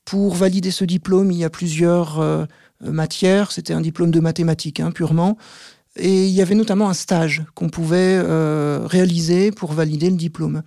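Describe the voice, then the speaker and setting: Mellow-voiced man explaining carefully. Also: a little high, steady tone, creaky, dry recording, studio quality. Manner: measured, explains step by step with frequent "euh" pauses. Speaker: maths teacher, podcast guest. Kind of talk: explanatory interview answers.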